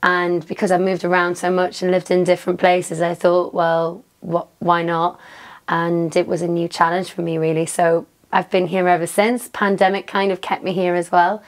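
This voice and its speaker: A woman's voice: on the low side, level tone, moderate pace. A young woman from Newcastle speaks with a Geordie accent as an interview guest. She talks casually and chattily, scattering "um" and "like" through her answers. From Newcastle, England. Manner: chatty, fillers like "um" and "like".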